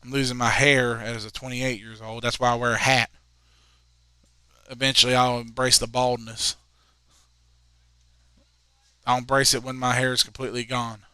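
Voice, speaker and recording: A man in his twenties calling a high school soccer game, chatting in rambling asides between plays. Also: level tone, fairly quick, pushed and bright, clean tone, no room sound. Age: 20s. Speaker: play-by-play commentator.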